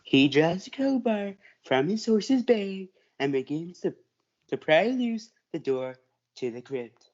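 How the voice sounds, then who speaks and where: A woman's voice: on the low side, very animated, slow, a little mellow. A female student reading scripted narration for a school reader's theatre play. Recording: dull lo-fi audio.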